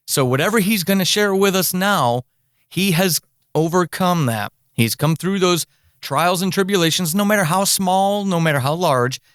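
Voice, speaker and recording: A man's voice: on the high side, a bit bright, no echo, clean studio sound. A man hosting a podcast interview, speaking conversationally in an earnest, encouraging way and repeating words for emphasis. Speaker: podcast host.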